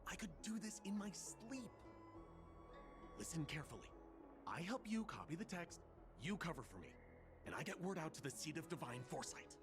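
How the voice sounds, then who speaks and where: A secretive young-sounding soldier in a fantasy game, his voice a bit high and expressive. He is chatty yet wary, confiding urgently and informally while music plays behind him. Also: normal speed, pauses often. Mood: assured, conspiratorial. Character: wary, self-assured male undercover soldier, secretive and chatty. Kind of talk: video game voice acting.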